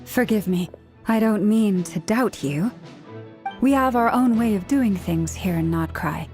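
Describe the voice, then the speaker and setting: A woman's voice: medium pitch, animated, unhurried, darkish tone. Polite, cautious young woman. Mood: apologetic, cautious. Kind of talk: video game cutscene acting. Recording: faint game music behind.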